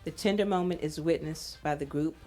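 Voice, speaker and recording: A woman's voice: low-pitched, fairly quick, darkish tone, faint music behind. A woman host reading scripted screenplay narration for an audio drama.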